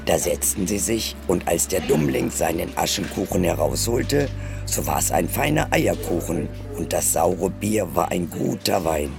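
A man's voice: deep voice, expressive, a little slow, vocal fry. A man reading a scripted fairy tale as narrator. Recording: loud music under narration.

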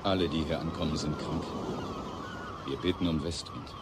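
Very deep-voiced, troubled coastal relief helper. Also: male voice, steady tone, moderate pace. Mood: grim, troubled. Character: troubled man receiving sick, injured war refugees on coast. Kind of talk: radio drama acting. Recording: very noisy, ambient outdoor sound.

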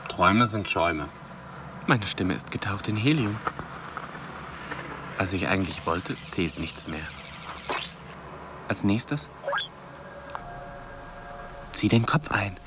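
An actor playing a dreamy, searching boyfriend, musing and puzzled in a poetic radio drama. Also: male voice, low-pitched, lively intonation, slow pace, long pauses, background noise.

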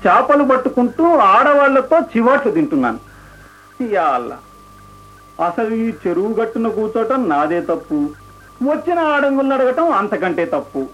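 An actor playing a grumbling, pompous courtier in a radio play, querulous and mock-courtly. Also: male voice, very high-pitched, big pitch swings, moderate pace, a bit bright, faint music behind, full character voice. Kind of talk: comic radio play acting. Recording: dull, faintly noisy old radio recording.

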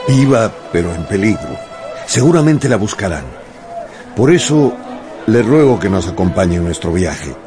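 Very deep, formal gentleman. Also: sing-song. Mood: gallant, formal. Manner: formal, gallant, measured. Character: courteous, exact English gentleman traveller, formal and reserved. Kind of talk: radio drama acting. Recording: music behind the voice.

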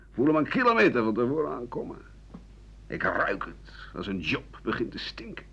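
An actor playing an embittered aging French doctor and war veteran, rambling sardonically through his memories in a radio drama, his voice husky and rough-edged. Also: mid-range voice, fairly bright tone, heavily acted. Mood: bitter, wry. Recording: dull 1980 radio play recording.

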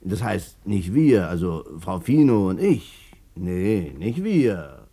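Chatty, husky, lively Berlin storyteller. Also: male voice, sits low, slow, exaggerated voice. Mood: explaining eagerly. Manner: colloquial, animated, self-justifying. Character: chatty, cocky Berlin businessman telling his amorous past. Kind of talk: 1950s German radio drama, storytelling monologue.

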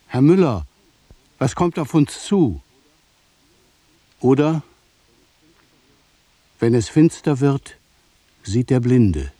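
A male radio play narrator with a dark, slightly husky voice. He reads scripted literary prose very slowly, in short deliberate phrases with pauses. The sound is dry and close. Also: mid-range voice, big pitch swings, no room sound.

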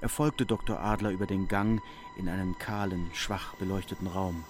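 Casting: male narrator for literary audio drama, very low voice, music underneath. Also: fairly even intonation, normal speed. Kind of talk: literary radio play narration.

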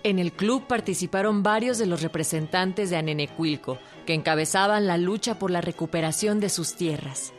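A woman's voice: lowish voice, normal speed, hardly any pauses, faint music behind. A woman with a Mexican accent reading scripted historical narration for a radio drama.

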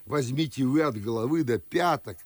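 An actor playing a pompous, garrulous older Moscow nobleman, declaiming husky-voiced in old-fashioned theatrical verse for a radio play. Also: mid-range voice, normal speed, dry recording, close to an everyday voice. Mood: boastful.

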